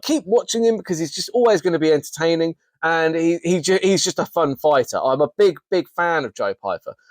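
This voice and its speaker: A man's voice: on the high side, dry recording. A man, hosting a casual, enthusiastic fight recap with frequent restarts. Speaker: host. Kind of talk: casual MMA recap commentary.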